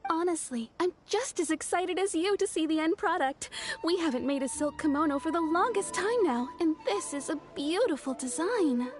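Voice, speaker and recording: A woman's voice: very high-pitched, expressive, normal speed, hardly any pauses, soft background music. A woman voicing a courteous, eager kimono tailor in a video game, chatting politely. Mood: obliging.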